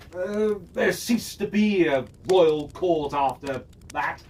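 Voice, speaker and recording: A man's voice: fairly high pitch, slow pace, darkish tone. A man telling a fantasy story in character, chatty and musing, often asking himself questions aloud. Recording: distant mic with faint background noise.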